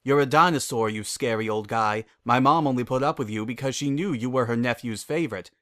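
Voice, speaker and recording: A man's voice: mid-range voice, fairly quick, a bit bright, no echo, studio quality, lightly acted. A man voicing a cold, accusing young male pony in a melodramatic storybook reading. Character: cold, scornful young male pony confronting his father.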